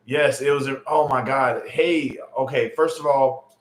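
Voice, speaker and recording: A man's voice: medium pitch, fairly even intonation, a little slow, slight background noise. A man in his late thirties talks casually to his livestream chat. He uses lots of fillers and teasing asides, with a mid-range voice. The sound is roomy and echoey. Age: late 30s. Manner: loose, chatty, joking with chat, lots of fillers. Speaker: livestream host. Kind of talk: casual livestream chat reading viewer comments. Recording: roomy echo on a home stream mic.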